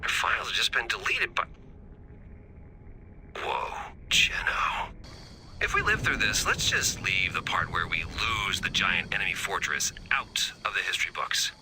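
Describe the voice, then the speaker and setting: An actor voicing a wry, excitable scientist rebel in a video game, sardonic and chatty. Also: male voice, deep voice, measured pace, whispered, exaggerated voice. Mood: curious, wry.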